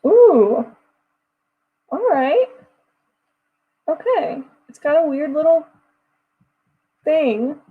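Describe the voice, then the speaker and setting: A woman's voice: high voice, big pitch swings, very slow, pauses often, narrow, tinny audio. A woman of twenty-one from Texas, chatting casually with her livestream viewers and answering their messages as they come in. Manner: chatty, reads chat messages aloud and answers them. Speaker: livestream host.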